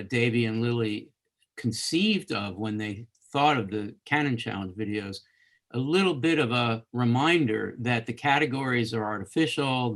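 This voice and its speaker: A man's voice: mid-range voice, fairly bright tone. Conversational man hosting a live discussion. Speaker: host of a live literature course webcast.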